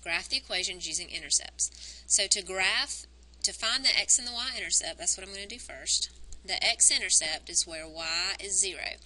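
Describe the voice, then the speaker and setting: A woman's voice: medium pitch, moderate pace, sharp tone, poor audio. Woman teacher counting points, losing her voice. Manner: explains step by step, counting points aloud. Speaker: math teacher. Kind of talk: recorded math lesson, working through graphs. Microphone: wired microphone on a cord.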